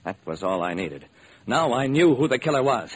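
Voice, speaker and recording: An actor playing a brisk, determined hard-boiled male private detective, narrating and acting in a classic radio crime drama. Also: medium pitch, sing-song, heavy background noise, close to an everyday voice. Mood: intent, clipped. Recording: old, slightly rough radio recording.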